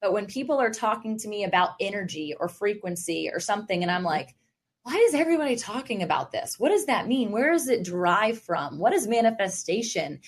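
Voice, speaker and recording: A woman's voice: medium pitch, big pitch swings, a little fast, husky. A woman hosting a conversational interview podcast, responding in an affirming and reflective way. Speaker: podcast host.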